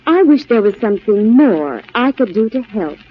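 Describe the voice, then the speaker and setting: An actress playing an earnest, concerned wartime housewife in a dramatised 1940s radio announcement. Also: female voice, fairly high pitch, big pitch swings, moderate pace, slightly rough. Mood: wistful, earnest. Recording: muffled 1940s radio broadcast.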